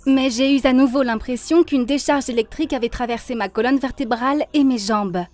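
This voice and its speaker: A woman's voice: high voice, brisk, a little mellow, lightly acted. A woman voicing a devout, grateful young woman in a cartoon, speaking earnestly and solemnly. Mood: earnest, relieved. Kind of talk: dubbed cartoon monologue, testimony. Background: faint background music.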